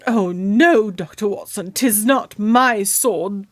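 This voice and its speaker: A woman's voice: medium pitch, slow pace, no echo, studio quality, lightly acted. A woman playing a solemn, courtly noblewoman of about thirty in a radio drama, speaking in formal, archaic phrasing. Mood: grave, pleading. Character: solemn, courtly 31-year-old noblewoman guarding a royal sword.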